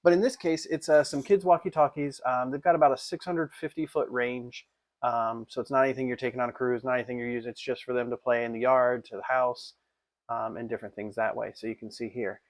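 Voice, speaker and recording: A man's voice: mid-range voice, steady tone, fast talker. A man giving a casual hands-on unboxing review in an informal, off-the-cuff way. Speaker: product reviewer.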